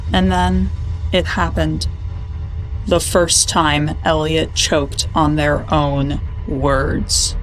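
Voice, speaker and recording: A woman narrating a creeping horror story in a measured, deliberate storytelling manner. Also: deep voice, level tone, poor audio. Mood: measured, ominous. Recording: loud music under the voice.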